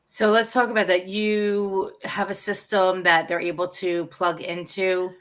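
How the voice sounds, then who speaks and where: A woman's voice: mid-range voice, level tone, unhurried. A woman hosting a podcast, asking an agent direct, prompting questions. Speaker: podcast host. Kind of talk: interview questions.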